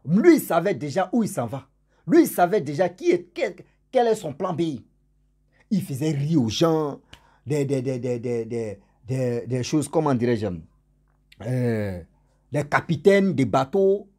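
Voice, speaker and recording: A man with a cold and a cough, telling a story to his followers in a casual spoken way. He repeats words for emphasis and swings between loud stressed words and endings that fade low. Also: big pitch swings, measured pace. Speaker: storyteller for his channel's subscribers.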